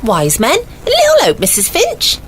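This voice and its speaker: Woman playing a high, lively British housemaid. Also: unhurried, a little hiss or hum, full character voice. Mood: dutiful, artless. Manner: deferential, artless. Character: dutiful, literal-minded housemaid to a gin-loving lady. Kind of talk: 1940s radio comedy acting.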